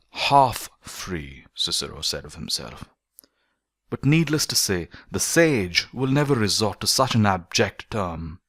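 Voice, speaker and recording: A man reading a philosophical essay as an audiobook narrator, in measured, deliberate phrases with emphatic stresses. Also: low voice, a bit bright, dry recording, studio quality.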